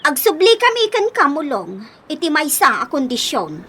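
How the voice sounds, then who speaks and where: A woman's voice: high voice, wildly swooping pitch, moderate pace, fairly bright tone, full character voice. An actress playing a firm wife who sets conditions on her husband in a radio drama. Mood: stern. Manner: firm, demanding. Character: stern wife setting conditions for return. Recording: background noise.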